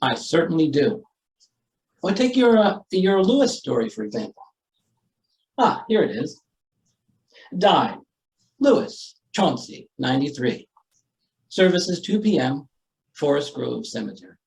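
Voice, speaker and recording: A man's voice: a little high, very animated, measured pace, gravelly, pauses often, darkish tone, distant mic, heavily acted. A man playing a flustered, placating newspaper editor in an old-time radio comedy, hemming and dryly wisecracking. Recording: roomy stage, away from mic.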